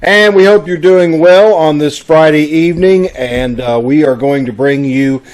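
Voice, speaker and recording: A man's voice: a little high, unhurried, a little mellow, poor audio. A man casually hosting a live online broadcast on a video call, making announcements in a folksy, conversational way. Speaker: host of a live online radio-troupe broadcast.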